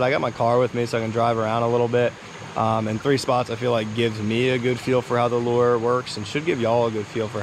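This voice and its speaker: An American man in his thirties chatting casually and folksily to viewers as a fishing vlogger, outdoors on an action camera. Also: mid-range voice. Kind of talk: casual vlog chat while fishing. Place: outdoors by a small wooded creek. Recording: outdoor noise with insects and ambience.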